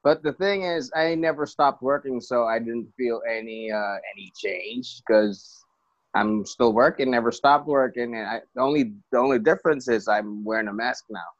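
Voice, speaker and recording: A man from the Philippines speaks in a mid-pitched, relaxed voice, rambling and restarting as he chats. He laughs easily. The sound is a little dull, like a remote call. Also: moderate pace. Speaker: musician guest. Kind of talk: casual interview.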